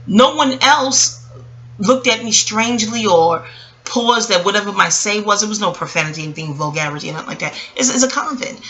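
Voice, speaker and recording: A woman speaking casually and reflectively about her own experience, rambling with emphatic pauses and frequent self-corrections. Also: medium pitch, sharp tone, rough recording. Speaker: vlogger. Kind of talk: casual reflective personal storytelling.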